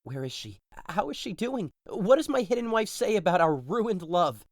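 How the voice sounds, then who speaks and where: A man's voice: high-pitched, very animated, moderate pace, no echo, studio quality. Man playing despairing young lover, widely swinging voice. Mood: anxious, guilty. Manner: melodramatic, anguished, declamatory. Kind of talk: modern-language Shakespeare audio drama.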